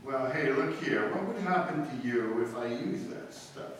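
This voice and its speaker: A man acting in a radio play as a suave, dry-witted upper-class gentleman, measured and polite. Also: medium pitch, expressive, close to an everyday voice. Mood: keen, urging. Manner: measured, polite, dryly wry. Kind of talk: live old-time radio play acting. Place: live stage performance in a hall. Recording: distant echoey hall, faint noise.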